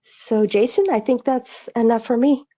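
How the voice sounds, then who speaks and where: Middle-aged woman engineer on a video call, earnest, restarts a lot, warm mellow voice, kinda lo-fi. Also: fairly high pitch, fairly even intonation. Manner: earnest, halting, many restarts and fillers. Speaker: aerospace engineer, webinar panelist. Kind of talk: reflective webinar remarks. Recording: slightly dull lo-fi call audio.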